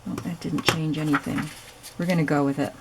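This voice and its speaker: A woman hosting a crafting video, hoarse and raspy from lack of sleep, chatting casually as she works. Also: low voice, fairly quick, heavy background noise. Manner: relaxed, chatty, thinking aloud while working. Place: home craft room.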